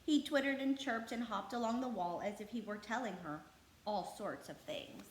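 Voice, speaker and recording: A woman narrating a children's storybook aloud in an expressive, scripted read-aloud style. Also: on the high side, moderate pace. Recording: echoey room with faint background noise.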